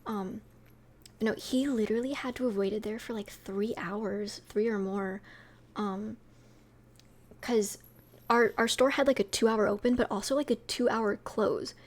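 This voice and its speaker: A woman's voice: medium pitch, a little slow. A young woman with a sweet voice, chatting casually with her stream viewers, rambling with lots of fillers and light laughs.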